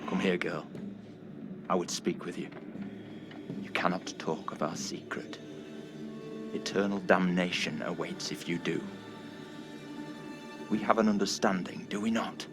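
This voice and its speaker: A man's voice: sits low, unhurried, long pauses, music in the background. A man playing a stern, menacing Puritan authority figure in a video game, speaking in controlled, archaic language. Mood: quietly menacing. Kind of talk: dramatic video game cutscene acting.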